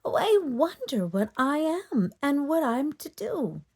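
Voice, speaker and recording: Woman playing a small female winged horse, storybook character, wondering, earnest, hesitant, very animated intonation. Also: high-pitched, measured pace, voice pushed up, exaggerated voice. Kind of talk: children's storybook character reading.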